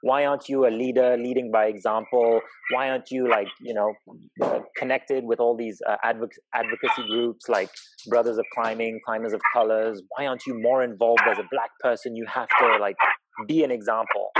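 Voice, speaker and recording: A man from Zimbabwe speaking reflectively in conversation, thoughtful and halting as he searches for words. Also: medium pitch, fairly even intonation, very noisy. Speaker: interview guest, professional climbing route setter.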